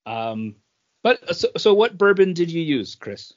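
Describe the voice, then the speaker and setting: A man co-hosting a podcast, chatting casually with friends in a halting way. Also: a little high, big pitch swings. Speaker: podcast co-host. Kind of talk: casual chat among friends. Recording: dull lo-fi voice recording.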